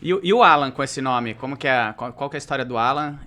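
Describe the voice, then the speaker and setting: A man's voice: mid-range voice, quick pace, pushed and bright. A man hosting a podcast, casually prodding his guests with questions. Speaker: podcast host.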